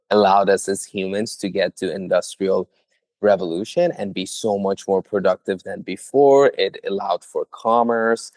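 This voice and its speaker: A young man with a low voice explains his startup in an earnest, conversational way, often leaning on small fillers. The sound is dry and close, with no room around it. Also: darkish tone, no echo. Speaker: startup founder, guest. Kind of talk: conversational interview answers.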